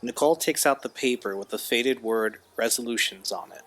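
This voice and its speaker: A man's voice: medium pitch, fairly quick, a bit bright. A man narrating scripted stage directions for an audio drama.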